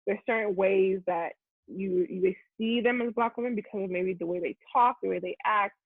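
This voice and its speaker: A woman's voice: medium pitch, moderate pace, slightly rough, dry recording. A Nigerian woman guest, a content creator, chatting openly in an interview and often restarting her sentences. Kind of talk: casual interview chat. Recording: tinny phone-like line.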